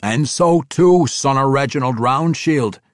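A man voicing a proud, gruff young dwarf warrior king in a fantasy audiobook, declaring himself forcefully in rustic dialect. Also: medium pitch, a little slow, no echo, studio quality, voice pushed up, natural voice. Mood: defiant.

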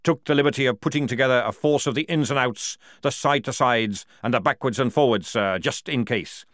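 A man voicing a fierce, loyal old male army sergeant who barks his words in a forceful parade-ground manner. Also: medium pitch, brisk, dry recording, clean studio sound, pitched above the performer's own voice, lightly acted. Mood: gruff, insistent.

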